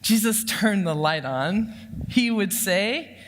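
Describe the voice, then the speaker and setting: Loud-voiced woman preaching in a reverberant church. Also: medium pitch, takes it slowly, slight background noise. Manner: lively, humorous, anecdotal. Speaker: church counseling director giving the sermon. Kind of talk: personal storytelling sermon. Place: church sanctuary. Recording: long reverb of a church hall.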